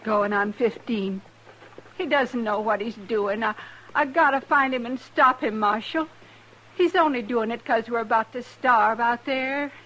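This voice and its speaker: Anxious frontier mother, fairly high voice. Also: unhurried, a bit bright, rough recording. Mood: worried, pleading. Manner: pleading, plain rustic speech. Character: anxious, humble, starving homesteader mother, recently widowed. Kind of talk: 1950s radio drama acting.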